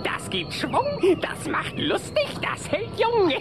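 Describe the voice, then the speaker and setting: A woman's voice: extremely high pitch, huge pitch swings, slow, gravelly, music in the background, heavily acted. A woman playing a cocky, mischievous young male vampire in a German children's radio play, with an exaggerated, sneering drawl. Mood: gleeful swagger.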